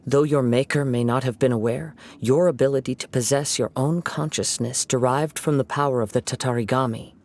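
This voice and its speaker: An actor voicing a calm, measured young male wandering samurai who speaks in a reflective way. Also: medium pitch.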